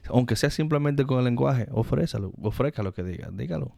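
A man's voice: medium pitch, animated, fairly quick, creaky, warm tone, no echo, studio quality. A man with a Dominican Spanish accent, talking casually and slangily as a podcast co-host, often repeating words as he goes. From Dominican Republic. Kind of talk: casual conversational chat.

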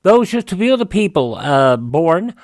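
Male radio host, slightly high voice, announcer-style almanac read, stresses names, close and dry studio mic. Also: sing-song, moderate pace, a little mellow, dry recording. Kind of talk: scripted radio almanac narration.

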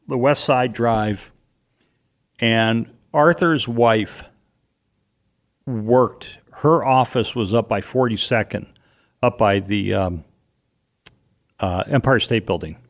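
A middle-aged man with a loud voice, telling a personal story as an interview guest, animated and vivid, acting out what people said. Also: medium pitch, takes it slowly, long pauses. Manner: vivid, animated retelling with acted-out quotes.

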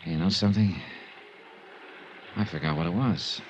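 Guy acting tough american private eye, low clipped voice, wry, old muffled hissy 50s radio show. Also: normal speed, close to an everyday voice. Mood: tense, hushed. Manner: hard-boiled, clipped, understated. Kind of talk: 1950s radio detective drama acting and narration. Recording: dull, noisy 1950s radio recording.

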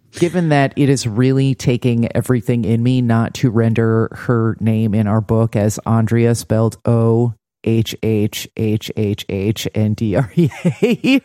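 Very low, mellow, sardonic woman podcaster. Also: unhurried, no echo. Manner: sardonic, dry, blunt. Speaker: podcast co-host. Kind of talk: casual TV recap podcast chat.

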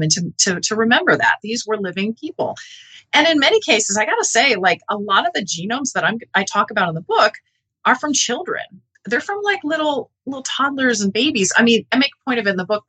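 A woman's voice: mid-range voice, bright, forceful tone, breathy edge, rough recording. A middle-aged woman, a researcher interviewed as a guest, speaking in an earnest, reflective and emphatic way. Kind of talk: thoughtful interview talk.

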